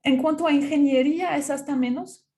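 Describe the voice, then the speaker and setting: A woman's voice: sits high, steady tone, fairly quick, warm tone. A middle-aged woman from France with a French accent gives a conference talk as a university researcher, with many fillers and restarts.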